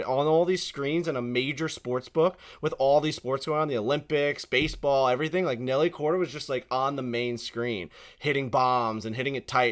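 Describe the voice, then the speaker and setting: Rambling, profane male podcast co-host. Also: medium pitch. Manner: rambling, filler-heavy, profane. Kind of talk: casual chat.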